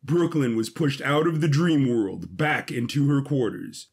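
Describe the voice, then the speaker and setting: A man with a husky voice giving a scripted, dramatic narration of an adventure story. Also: on the high side.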